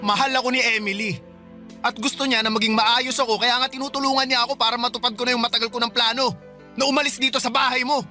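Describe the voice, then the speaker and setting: Man playing a sharp, fast-talking rebellious younger brother. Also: extremely high pitch, flat delivery, faint music behind, full character voice. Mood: indignant, furious. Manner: argumentative, defiant. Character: rebellious, resentful young jobless brother lashing out. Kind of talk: radio drama dialogue in Tagalog love story.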